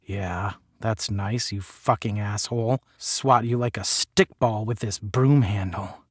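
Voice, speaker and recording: A man's voice: on the low side, slow, dry recording, clean studio sound, voice pushed down. A man playing a sardonic, moody young working man who narrates his own audio story, drifting between muttering and bitterness. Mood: bitter, sardonic.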